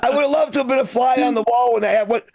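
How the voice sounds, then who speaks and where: A man's voice: extremely high pitch, racing, creaky, a little mellow, no echo. A man from Michigan performing stand-up comedy in a conversational, profane way, often repeating and restarting phrases. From Michigan, United States.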